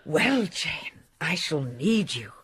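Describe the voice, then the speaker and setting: An actress playing a fussy, chattering older housekeeper in a radio drama. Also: female voice, low voice, big pitch swings, takes it slowly, fairly bright tone, husky, heavily acted. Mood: bustling. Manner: bustling, chattering.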